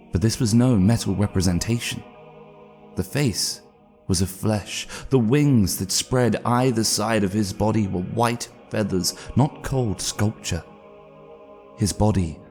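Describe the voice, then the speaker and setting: A man narrates a dark epic with grave, measured care. His voice is low, gravelly and mellow, with music softly underneath. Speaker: narrator, voice actor. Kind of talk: solemn dramatic audiobook narration.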